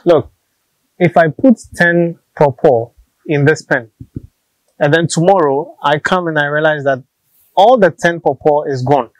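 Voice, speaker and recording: A man's voice: a little high, a little slow, no echo, low-quality recording. A man in his thirties with a Ghanaian English accent, explaining his farm practice outdoors on a lapel mic, patient and practical, often repeating words. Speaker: snail farmer. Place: open-sided farm shelter outdoors.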